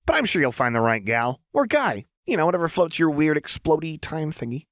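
A man's voice: on the high side, expressive, a little fast, dry recording, clean studio sound, pitched above the performer's own voice, close to an everyday voice. A man voicing a cocky, playful young woman gunfighter in an audiobook, brash and teasing.

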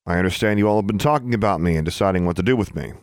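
A man's voice: sits low, rapid-fire, no room sound, close to an everyday voice. A man voicing a defiant, sarcastic teenage schoolgirl in an audiobook, in a dry, blunt manner. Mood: challenging. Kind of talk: audiobook character dialogue.

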